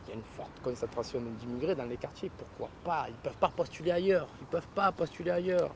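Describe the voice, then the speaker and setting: A man's voice: a little high, fast talker, creaky, a little mellow. A man talking bluntly and casually in slang, repeating his phrases, in an outdoor interview. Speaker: street interviewee. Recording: noisy outdoor urban recording with traffic.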